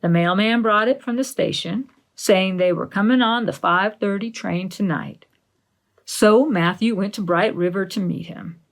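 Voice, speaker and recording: A woman voicing a stern, practical middle-aged farm woman, measured and matter-of-fact, in a storybook reading. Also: mid-range voice, natural voice, low-quality recording.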